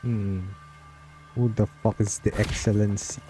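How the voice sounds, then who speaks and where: A man's voice: lowish voice, little pitch movement, measured pace. A man streaming a game, giving casual, blunt, sweary reactions as he plays. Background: game music.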